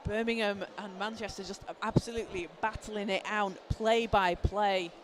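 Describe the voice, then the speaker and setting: Hoarse high-pitched man calling basketball. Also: moderate pace. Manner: excited, shouting calls on big plays. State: hoarse from a cold. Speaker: basketball commentator. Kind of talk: live play-by-play commentary. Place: indoor basketball arena. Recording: clear arena noise behind.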